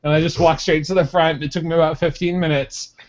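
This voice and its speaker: A man's voice: on the high side, level tone, quick pace. A man co-hosting a casual podcast, telling a rambling story and acting out the quotes. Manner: rambling anecdote with acted quotes. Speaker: podcast co-host. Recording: dull lo-fi podcast audio.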